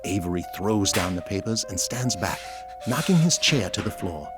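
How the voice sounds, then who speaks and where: A man's voice: deep voice, animated, normal speed. A man narrating a scripted audio drama, reading out the action as a storyteller. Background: music.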